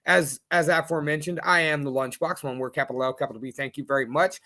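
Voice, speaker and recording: A man with a fairly high voice co-hosts a laid-back podcast. He banters in a playful, wordy way and puffs himself up for laughs. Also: steady tone, fast talker, pushed and bright. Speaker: podcast co-host. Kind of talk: casual podcast chat.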